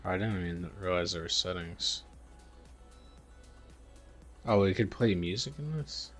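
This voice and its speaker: A man's voice: low-pitched, animated, measured pace, faint music behind. A man giving casual live game commentary, muttering and thinking aloud as he plays. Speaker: game streamer.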